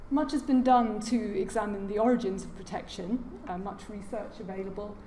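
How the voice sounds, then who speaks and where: Quiet-voiced woman giving a lecture, mellow tone, echoey hall, a bit of hiss. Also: mid-range voice, fairly even intonation, moderate pace. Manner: soft-spoken, hesitant with frequent fillers. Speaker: guest lecturer. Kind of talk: formal lecture. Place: large lecture room.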